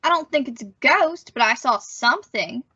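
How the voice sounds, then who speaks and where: A woman's voice: high-pitched, animated, moderate pace, sharp tone, slightly rough, full character voice. A woman playing a contrary, grumbling young teenage son in an old-time radio comedy. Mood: matter-of-fact. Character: argumentative, plain-spoken young teenage boy, the son. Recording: muffled recording, faint noise.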